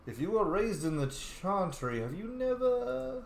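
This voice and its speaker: A man's voice: high voice, big pitch swings, vocal fry. Playful man commenting on a game, roomy echo. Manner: playful, jokey, quick reactions. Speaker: gamer playing and roleplaying. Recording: echoey room with faint hiss.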